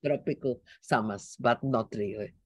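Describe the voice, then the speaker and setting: A woman's voice: extremely low pitch, normal speed, no echo. An older woman with a Czech accent, speaking in a wry, chatty way full of small restarts. Her voice is dry and close, with no room sound, as she talks on a video call from her garden. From Czech Republic. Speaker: meeting participant. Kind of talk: casual video call introduction. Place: outdoor garden. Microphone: laptop on a video call.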